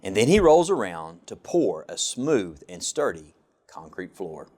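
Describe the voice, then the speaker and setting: Slow, lively low-voiced man reading a storybook. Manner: expressive, sing-song rhyming delivery. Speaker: government official reading a children's picture book. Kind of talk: storybook read-aloud.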